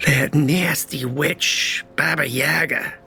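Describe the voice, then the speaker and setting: An actor playing a sneering, scheming villain in an audio drama, with a sarcastic drawl. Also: male voice, fairly high pitch, creaky, bright, forceful tone, exaggerated voice. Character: sneering, scheming villain boss spying on heroes. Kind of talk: audio drama table read acting.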